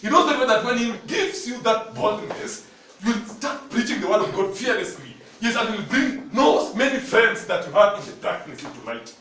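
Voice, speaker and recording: Kenyan man preaching, high voice, animated and laughing, booming echo, distant mic. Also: moderate pace. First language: Luhya. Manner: animated storytelling, laughing often. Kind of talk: sermon. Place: church hall. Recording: very echoey hall, from far off, noisy.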